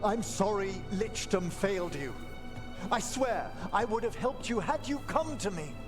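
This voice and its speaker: Anxious high-voiced refined older necromancer. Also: male voice, expressive, unhurried, music in the background. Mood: pleading, regretful. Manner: formal, earnest.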